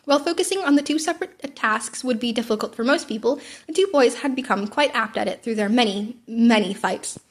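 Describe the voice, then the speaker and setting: A woman's voice: fairly high pitch, fairly quick, hardly any pauses. A woman narrating fan fiction as an amateur audiobook, now and then stumbling and rereading a word.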